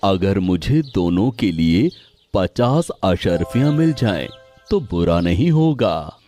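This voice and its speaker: A man's voice: deep voice, big pitch swings, slow, mellow, soft background music, exaggerated voice. A man playing a poor, humble, dejected farmer in a cartoon moral story, speaking in a plaintive, subdued manner. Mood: humble, weary. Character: poor, humble, dejected male farmer, kind to his animals.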